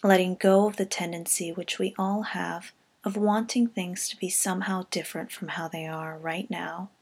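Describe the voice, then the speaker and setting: A woman reading a scripted guided body scan meditation in a calm, gentle, measured way, softening at the ends of phrases. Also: on the low side, husky.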